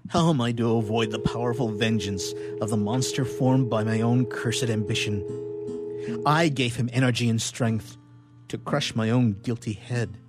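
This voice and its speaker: A man's voice: mid-range voice, steady tone, moderate pace, over music, close to an everyday voice. A man playing a haunted, guilt-ridden young scientist in an old melodramatic radio play, with declamatory archaic diction. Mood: haunted dread.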